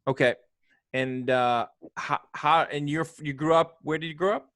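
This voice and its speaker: A man co-hosting a video call interview, asking relaxed, conversational questions. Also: on the high side, moderate pace. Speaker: co-host. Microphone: video call microphone.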